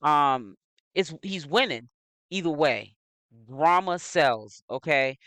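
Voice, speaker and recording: A man's voice: a little high, animated, takes it slowly, fairly bright tone, clean tone, no room sound. A man hosting his own commentary channel, delivering an opinionated gossip monologue in a preachy, admonishing way, repeating phrases for emphasis. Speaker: social media commentator, channel host.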